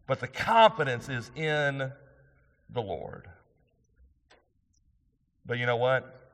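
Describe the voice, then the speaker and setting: A man preaching a sermon to his church, loud and emphatic, rising for key points. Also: medium pitch, lively intonation, takes it slowly. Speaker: pastor. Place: church sanctuary. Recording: long hall reverb.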